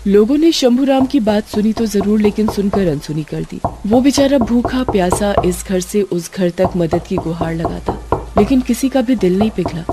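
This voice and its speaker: A woman's voice: medium pitch, normal speed, background noise. A woman reading a scripted story as a narrator, in a storytelling style. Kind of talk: scripted narration of a Hindi moral horror story. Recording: music under the voice.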